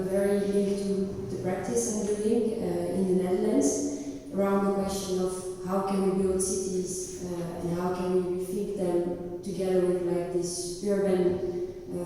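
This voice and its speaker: A French woman lecturing in English, pausing often with "uh" as she searches for words. Her voice is soft and dark. It rings with long reverb from a large hall and sounds distant from the mic. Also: mid-range voice, a little hiss or hum. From France. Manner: halting, many "uh" fillers, searching for words. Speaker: architect giving a lecture. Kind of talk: live lecture to a summer school. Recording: echoey hall, speaker far from the mic.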